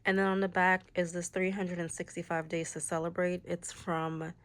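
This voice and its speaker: A young woman with a slightly stuffy, nasal voice from a cold, casually walking through her planner setup in a chatty, relaxed way, with fillers like "like" and "um". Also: on the low side, normal speed, slight background noise. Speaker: planner vlogger. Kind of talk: casual show-and-tell planner walkthrough.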